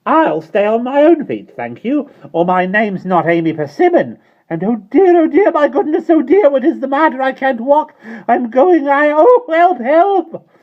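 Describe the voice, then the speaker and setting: A man voicing a fussy, prim spinster landlady who is easily scandalized, talking in a shrill, indignant and fretful way. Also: expressive, moderate pace. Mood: panicked. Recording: dull lo-fi recording.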